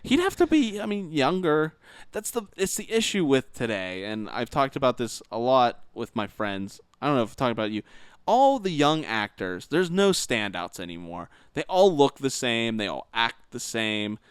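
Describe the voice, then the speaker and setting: A man chats casually as a podcast host, blunt and informal with his opinions. His pitch moves in a lively way and his voice is smooth and clear. The sound is dry, with very little room on it. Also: normal speed, no room sound. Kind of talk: casual gaming podcast chat.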